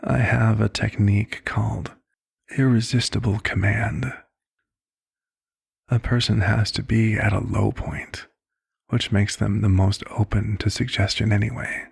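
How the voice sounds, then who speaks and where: Man playing a low, husky, hushed vampire doctor. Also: level tone, no echo, studio quality, exaggerated voice. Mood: measured, earnest. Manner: measured, hushed, reflective storytelling. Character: calm, caring male vampire doctor recounting a past case. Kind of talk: ASMR roleplay monologue.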